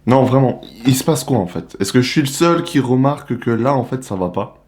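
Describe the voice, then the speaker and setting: A young man from the Republic of the Congo giving a casual, animated, slangy monologue to the camera as a vlogger. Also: medium pitch, brisk, slight background noise. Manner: animated, slangy, rhetorical questions.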